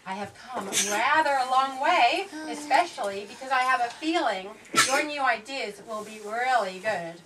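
A woman's voice: on the high side, animated, normal speed, few pauses, close to an everyday voice. A woman voicing a haughty, domineering fashion queen in a comic puppet show, with pompous, commanding delivery. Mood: imperious. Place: small indoor shop puppet show. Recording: noisy, distant camera microphone.

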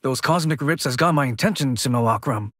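A man's voice: mid-range voice, a little fast, a bit bright, lightly acted. A man voicing a smug, scheming sorcerer in fighting game dialogue, self-important in manner.

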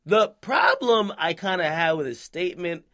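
A man's voice: high voice, expressive, moderate pace, bright, forceful tone. A man hosting an opinionated, slangy hip-hop commentary, speaking emphatically and punctuating his points with checking questions. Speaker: commentary show host.

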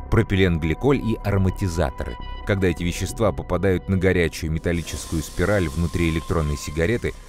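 A man voicing a calm adult scientist who explains things to children in a measured, earnest way. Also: low-pitched, natural voice. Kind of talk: scripted educational narration.

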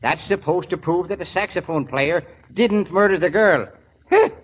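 A man's voice: sits high, normal speed, lightly acted. An actor playing a grumbling, reluctant but good-natured policeman in a 1970s radio drama, full of blustery, exasperated protest. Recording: dull, noisy old radio recording.

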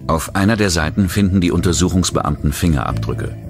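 Male narrator with a very deep voice for a true-crime documentary, reading over loud music. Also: fairly quick.

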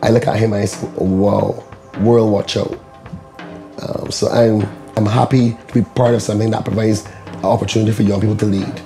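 Deep, mellow-voiced man from Barbados. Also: little pitch movement, normal speed. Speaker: executive director. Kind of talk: documentary interview. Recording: faint music under voice. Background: faint music.

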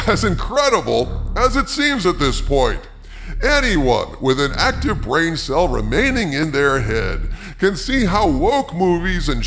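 A man's voice: mid-range voice, fairly bright tone. A man hosting an opinion show, delivering scripted commentary in a theatrical, emphatic, rhetorical manner. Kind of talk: scripted commentary with article readings. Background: background music.

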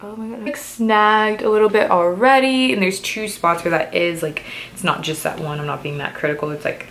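A woman's voice: medium pitch, steady tone, normal speed, fairly bright tone, a little hiss or hum. A woman in her twenties chatting casually through a clothing try-on haul, a little stuffy from a cold and full of "like" and "um" fillers. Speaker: fashion vlogger.

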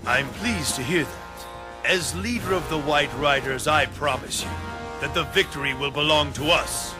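Man acting a proud, higher-voiced cavalry commander. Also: moderate pace, music in the background, natural voice. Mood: proud, assured. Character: proud, formal armored commander of ancient Chinese cavalry. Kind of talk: video game cutscene acting.

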